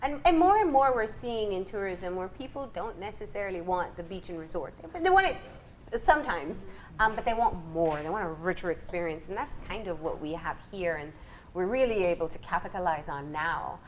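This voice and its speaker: A woman's voice: on the low side, expressive, fairly quick. Woman interviewer over noticeable noise. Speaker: TV interview host. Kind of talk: conversational studio interview.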